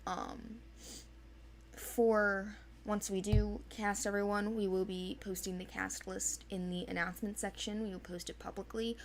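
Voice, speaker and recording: Female organizer, casual and rambling, medium pitch, faint noise on the recording. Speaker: casting organizer. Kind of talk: informal unscripted explanation and chat.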